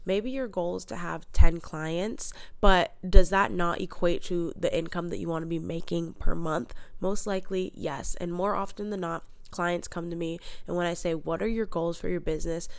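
A woman's voice: on the low side, fairly even intonation, normal speed, gravelly, few pauses, dry recording. Congested woman coaching, stuffy nose. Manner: upbeat, motivational coaching delivery. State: congested with a head cold. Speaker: podcast host and mindset coach. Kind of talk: solo podcast monologue.

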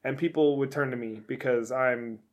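A man co-hosting a casual podcast, rambling through restarts and poking fun at himself. His voice sits at a typical middle pitch for a man. He jokes easily. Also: steady tone, normal speed, highs cut off. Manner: rambling, self-mocking, many restarts. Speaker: podcast co-host. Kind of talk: casual chat.

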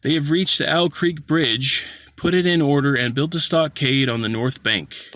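A man's voice: fairly high pitch, normal speed, pushed and bright, no echo, distant mic, voice pushed up. A man voicing a soldier in a storybook reading, reporting war news in a plain, informative way. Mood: matter-of-fact. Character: gray-clad male soldier reporting war news to a planter.

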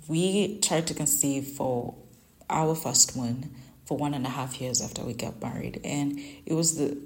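A woman's voice: rumbling low, darkish tone. A young woman whose first language is Luganda, hosting a devotional podcast and sharing earnest, conversational reflections on scripture and her own life. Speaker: Bible devotional podcast host. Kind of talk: personal Bible reflection and teaching.

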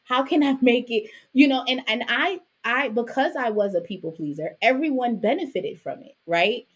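A Jamaican-born woman raised in Brooklyn, a podcast guest telling her story in a lively, chatty way with frequent "right?" asides. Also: on the high side, moderate pace, husky. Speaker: podcast guest, author and business owner. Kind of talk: casual interview conversation.

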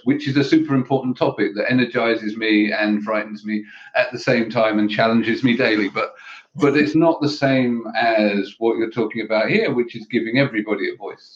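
A man co-hosting a podcast, speaking in a measured, thoughtful way and often restarting his sentences. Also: medium pitch. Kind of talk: conversational podcast talk. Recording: echoey, dull lo-fi audio.

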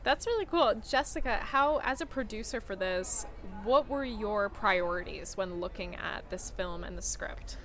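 A woman's voice: medium pitch, lively intonation, normal speed, few pauses, fairly bright tone. A woman hosting a podcast, chatty and effusive, asking long winding questions at a live festival recording. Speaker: podcast host interviewing filmmakers. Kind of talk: casual interview chat. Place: live at a film festival venue.

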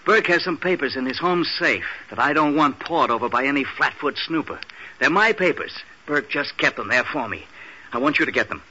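Shady assistant, very sharp husky voice, urgent, pushy, 1940s radio drama, muffled recording. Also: male voice, fairly high pitch, lively intonation, fairly quick, exaggerated voice. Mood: urgent, furtive. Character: shady, pushy, desperate male bookkeeper's assistant.

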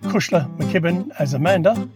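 Lively woman announcer over loud guitar music. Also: on the low side, moderate pace. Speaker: radio play announcer. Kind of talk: scripted programme introduction. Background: loud plucked guitar music.

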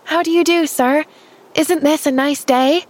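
A woman's voice: high-pitched, lively intonation, a little slow, voice pushed up. A woman voicing a cheerful, friendly, chatty young girl in a storybook, with an eager, chirpy manner. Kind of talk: storybook character voice.

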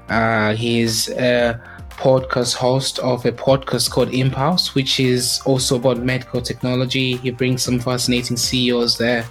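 Even-toned Southern African man hosting. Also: medium pitch. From Zimbabwe, grew up in South Africa. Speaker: podcast host. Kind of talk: casual podcast chat.

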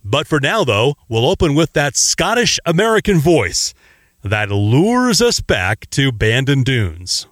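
A man's voice: mid-range voice, big pitch swings, slow. A man hosting a podcast, introducing the episode and asking questions eagerly in a casual chat. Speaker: podcast host.

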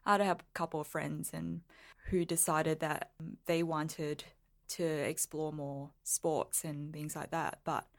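Podcast guest, female, from Singapore, low register, thoughtful conversational explainer with loose, informal phrasing. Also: flat delivery, a little slow, vocal fry. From Singapore, moved to Melbourne at thirteen. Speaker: podcast guest, lawyer and blogger. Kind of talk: casual interview chat.

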